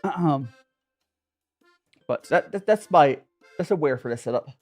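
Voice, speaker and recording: A young man with a Southern Californian accent talks casually to his live chat, rambling and joking at his own expense. His pitch swings up and down a lot as he goes. Soft music plays faintly behind him. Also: expressive, measured pace. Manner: rambling, chatty, self-mocking, many restarts and fillers. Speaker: streamer hosting a tea chat. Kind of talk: casual livestream chat with viewers.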